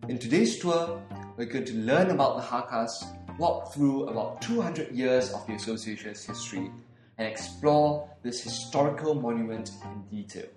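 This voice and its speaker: A man's voice: mid-range voice, normal speed, darkish tone, reverberant, faint music behind, low-quality recording. A man with a Singaporean accent, hosting a podcast and narrating a scripted audio walking tour, guiding the listener step by step. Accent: Singaporean English.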